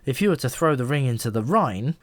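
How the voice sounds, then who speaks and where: A man's voice: mid-range voice, animated, a little fast, dry recording, lightly acted. A man voicing a talking pigeon in an audiobook, a knowing and easily exasperated explainer with a wry, emphatic manner. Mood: chatty, explaining.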